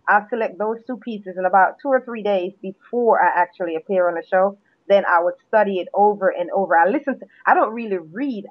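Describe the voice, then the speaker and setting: A woman from Jamaica, a guest poet, chatting in an interview and telling her story in a relaxed way with restarts, heard over a phone line. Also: mid-range voice, no echo. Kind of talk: casual interview chat. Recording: tinny phone line, dry.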